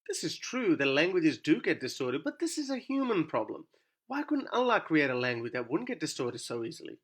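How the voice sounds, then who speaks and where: Urdu-native man, sardonic scripted commentary, raised pitch, animated delivery. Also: a little fast, husky. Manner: sarcastic, rhetorical questioning. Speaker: YouTube commentator. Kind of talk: scripted argumentative monologue.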